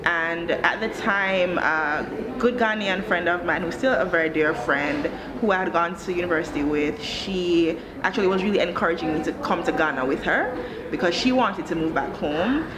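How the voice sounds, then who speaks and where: A woman's voice: lowish voice, normal speed. A woman in her thirties from Jamaica, speaking articulately and explaining things in a conversational interview. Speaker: interviewee, country director of an education social enterprise. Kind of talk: conversational interview, telling own career story. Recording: clearly noisy ambient background.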